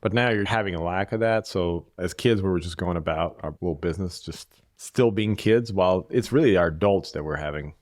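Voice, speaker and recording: A man, a podcast guest speaking in a measured, reflective way and repeating his key phrases. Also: sits low, a little mellow, no room sound, studio quality. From Bosnia. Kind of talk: reflective interview conversation.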